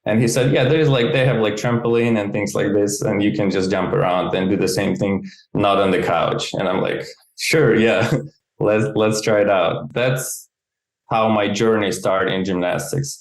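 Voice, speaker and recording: A man's voice: fairly low pitch, steady tone, normal speed. A man from Ukraine with an Eastern European accent, speaking casual non-native English as an interview guest, peppering his informal talk with "like". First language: not English. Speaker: interview guest, gymnastics coach and QA engineer. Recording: roomy, echoey sound.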